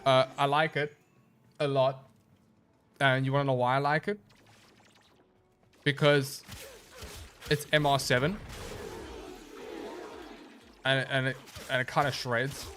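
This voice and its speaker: A man streaming a video game live, chatting casually and answering his chat in a relaxed, rambling way, sounding a little stuffy and nasal. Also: mid-range voice, slow, pauses often, a little hiss or hum. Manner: laid-back, rambling, reads chat aloud and answers.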